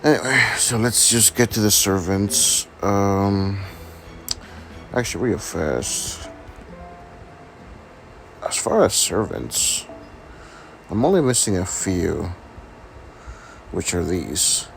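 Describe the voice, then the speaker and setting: Slow, gravelly man with a sick rasp. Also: low voice, lively intonation, a bit bright, soft background music. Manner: hesitant, many ums and restarts. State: raspy from being sick. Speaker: gaming channel host. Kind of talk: casual account walkthrough.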